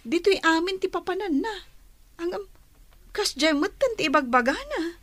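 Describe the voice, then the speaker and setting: An aggrieved, wronged lender. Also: female voice, extremely high pitch, lively intonation, normal speed, a bit bright, dry recording. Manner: aggrieved, complaining. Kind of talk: radio drama acting.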